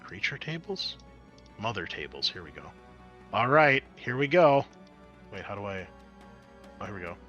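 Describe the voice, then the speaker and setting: A man acting as game master, narrating a tabletop roleplaying game in an animated, exclamatory way with casual swearing. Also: on the low side, normal speed, pauses often, dull audio, soft background music.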